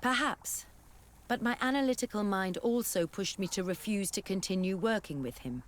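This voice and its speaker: A woman's voice: mid-range voice, moderate pace, close to an everyday voice. An actress playing an earnest, principled female scholar with a grave, formal way of speaking in a fantasy game. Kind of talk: scripted fantasy game acting.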